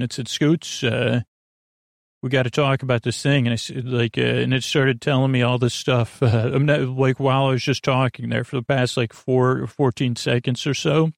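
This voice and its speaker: A man hosting a bedtime sleep podcast in creaky, lulling tones, rambling haltingly and often interrupting himself with digressions. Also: mid-range voice, dry recording, studio quality. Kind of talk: rambling, meandering bedtime monologue.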